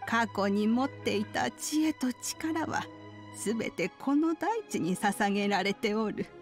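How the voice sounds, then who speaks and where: A wise old woman of a fantasy game, recounts ancient legends with kindly gravity. Her voice sits fairly high and moves slowly, in old-fashioned elderly phrasing. Music plays clearly behind her. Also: expressive. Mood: grave, measured. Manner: archaic elderly speech, measured and solemn. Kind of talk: Japanese video game storytelling, solemn narration.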